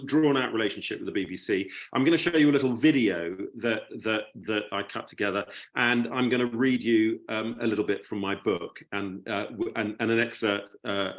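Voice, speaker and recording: Male guest speaker, mid-pitched, informal and reminiscing, with hesitant, halting delivery. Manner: hesitant, halting with repeated words. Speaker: guest speaker, writer and television producer. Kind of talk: informal talk, personal reminiscence.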